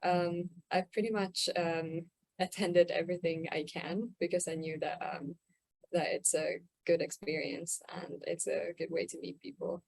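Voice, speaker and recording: A young woman from Finland answering a panel question on a video call, hesitant with many pauses and "um"s. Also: lowish voice, flat delivery, gravelly, a little mellow, no echo, low-quality recording. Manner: hesitant, frequent "um" and "uh". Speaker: student panelist.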